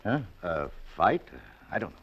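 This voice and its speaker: An actor playing a steady, practical man who calls out loudly and calms others, in 1940s radio acting. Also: deep voice, normal speed, a little hiss or hum. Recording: muffled old 1940s radio recording.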